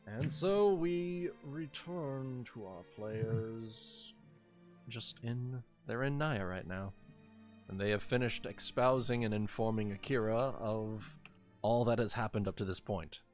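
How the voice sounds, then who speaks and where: A man speaking as game master in a casual tabletop game, narrating haltingly with drawn-out pauses. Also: fairly low pitch, darkish tone. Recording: music playing behind the voice.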